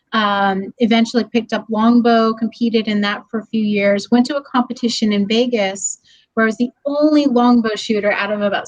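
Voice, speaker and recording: Female guest presenter, slightly high voice, friendly and chatty with fillers, remote call from a hallway. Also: highs cut off. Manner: friendly, laughs easily, many ums. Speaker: guest speaker. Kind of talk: webinar presentation. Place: office hallway.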